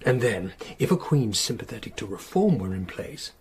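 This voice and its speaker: A man's voice: on the low side, very animated, normal speed. An actor playing a brash, indiscreet and scornful Tudor nobleman in a radio drama. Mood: scornful, boastful.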